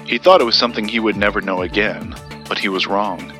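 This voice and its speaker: An actor playing an earnest, guarded collector of occult lore, telling his tale in a measured audio drama style. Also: on the low side, over music, lightly acted. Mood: measured storytelling. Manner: measured, storytelling.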